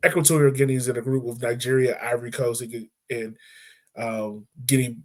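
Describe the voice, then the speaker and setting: A man with an average-pitched voice hosts a casual sports podcast. He talks informally, thinking aloud and correcting himself as he goes through his points. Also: fairly even intonation, a little fast, darkish tone. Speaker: podcast host discussing football. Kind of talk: casual conversational sports chat.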